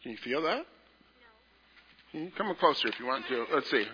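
A man, a pastor giving a gentle, playful children's message in church. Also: medium pitch, expressive, a little fast, in a large hall, dull audio, a little hiss or hum. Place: church sanctuary.

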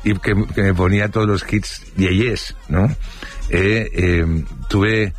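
A man's voice: sits low, fairly even intonation, takes it slowly, soft background music. An older man from Spain with a Spanish accent, telling rambling childhood anecdotes in a casual interview, full of fillers and restarts.

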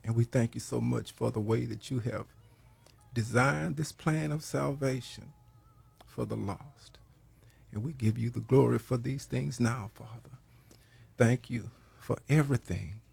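A hoarse man leading a prayer and preaching on a program, slow and halting, with many pauses. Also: mid-range voice. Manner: grows louder and more emphatic while preaching. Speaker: pastor hosting a prayer program. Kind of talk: prayer and sermon talk.

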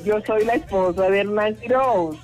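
A woman's voice: mid-range voice, normal speed, a little mellow, soft background music. An older woman from Colombia, a caller chatting gratefully on a radio phone-in.

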